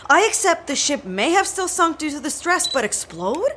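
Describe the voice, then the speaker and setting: A woman's voice: high voice, sing-song, moderate pace, sharp tone, slight background noise, full character voice. A woman playing a guilt-ridden, agitated young hacker, emphatic and emotional, in an audio drama. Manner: emotional, emphatic, self-blaming.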